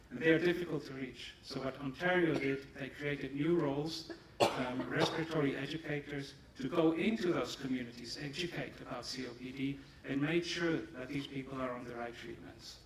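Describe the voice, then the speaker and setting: A man whose first language is German, giving a measured, emphatic conference presentation in English in a large hall, picked up from a distance. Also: on the high side. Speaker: pharma company presenter. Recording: echoey hall, distant mic, audience.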